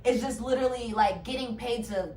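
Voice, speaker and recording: A woman's voice: on the high side, fairly quick, dark tone. A woman in her twenties, a podcast co-host, chatting casually in a rambling way. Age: 20s. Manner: rambling, many fillers and restarts. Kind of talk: casual chat. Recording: roomy echo, distant mic, faint noise.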